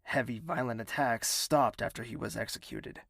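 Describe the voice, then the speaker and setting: An actor playing a bitter, menacing young prince in an audio drama, speaking in a formal, commanding, deliberate way. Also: male voice, mid-range voice, gravelly, fairly bright tone, dry recording, studio quality. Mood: proud, bitter. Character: bitter young male prince, self-declared villain, imperious and menacing.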